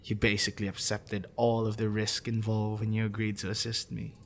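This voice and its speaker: A man playing a possessive, sadistic professor in an ASMR roleplay, condescending and teasing, slipping into intimate whispers, with a low gravelly voice. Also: completely flat delivery, a little hiss or hum. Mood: smug, clinical. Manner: condescending, teasing, deliberate, dropping into breathy whispers. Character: possessive sadistic male professor, obsessive, teasing, smugly intellectual.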